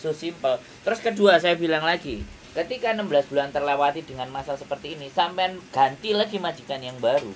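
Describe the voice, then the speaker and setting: A man's voice: on the high side, expressive, fairly quick. Emphatic Javanese-accented man, noisy distant recording. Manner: emphatic, lecturing, rhetorical questions. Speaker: YouTuber giving advice. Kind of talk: casual counselling chat. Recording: distant mic, noisy kitchen with frying.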